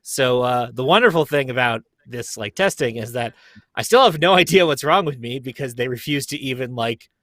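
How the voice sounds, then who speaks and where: Guy in his 30s rambling casually on a call, middle pitch, pretty dry sound. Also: lively intonation, brisk, a bit bright, no echo. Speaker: podcast cast member. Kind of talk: casual chat. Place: basement.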